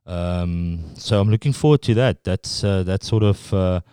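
Man, Afrikaans accent, low smooth voice, halting speech, dry close sound. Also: mellow, no room sound. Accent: South African Afrikaans. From South Africa. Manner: halting, full of restarts and fillers. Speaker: guest. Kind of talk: casual interview chat.

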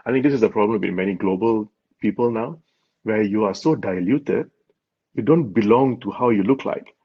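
A man's voice: fairly low pitch, normal speed, slightly rough. A man from Malaysia, a guest in an interview, explaining in an earnest way and laughing often. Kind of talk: conversational interview. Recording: dull lo-fi sound.

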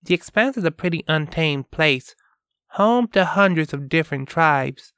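A man doing a calm lore-telling young woman. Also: fairly high pitch, smooth, dry recording, clean studio sound, pitched above the performer's own voice. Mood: explaining. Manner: explanatory, measured storytelling.

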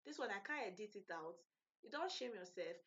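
A Nigerian woman tells a personal story on her solo podcast in a loose, animated way and laughs often. Her voice is bright and clear. The recording is a little dull, like lo-fi home audio. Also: mid-range voice, fairly quick. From Nigeria (Edo State). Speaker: podcast host.

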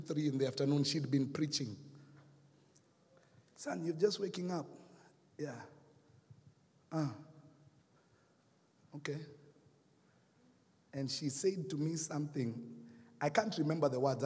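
A man with a Kenyan accent preaching a sermon, telling a story and swinging from hushed to shouting. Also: fairly high pitch, measured pace, pauses often, slightly rough, big-room sound. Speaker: pastor. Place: church hall.

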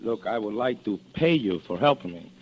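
A man's voice: fairly low pitch, animated, moderate pace, close to an everyday voice, rough recording. An actor playing a pushy young male crook with an over-polite, wheedling manner in a 1940s radio drama. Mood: eager, insistent. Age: young adult. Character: smooth-talking, pushy stranded young male bank robber. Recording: old radio recording with faint noise.

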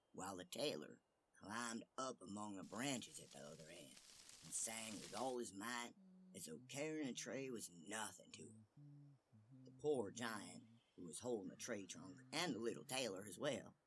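A man narrating a fairy tale as an expressive storyteller. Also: lowish voice, a little slow, a little hiss or hum.

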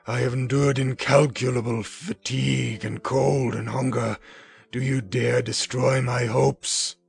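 A man voicing a lonely, vengeful male creature in a dramatic audiobook reading, with a slow, heavy, threatening delivery. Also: medium pitch, little pitch movement, vocal fry, voice pushed up. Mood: bitter pleading. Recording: music bed underneath.

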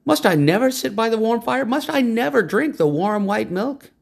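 A man's voice: high-pitched, sing-song, moderate pace, husky, voice pushed up, heavily acted. A man doing a sly, wheedling cat.

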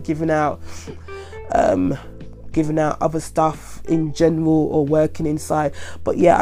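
Gravelly podcaster with a head cold. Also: mid-range voice, warm tone. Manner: rambling, repeats "like I said". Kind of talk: casual solo monologue.

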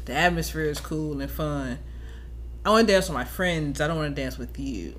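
A woman's voice: low voice, moderate pace, fairly bright tone. An American woman speaks as a podcast co-host in casual, unfiltered conversation. Her talk is blunt and slangy, with swearing mixed in. Faint noise sits under the voice. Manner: blunt, profane, informal. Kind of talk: casual chat.

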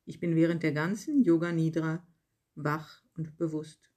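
A yoga nidra guide reading a scripted relaxation in calm, measured short phrases with pauses. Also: mid-range voice. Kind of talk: slow guided relaxation meditation, read from a script.

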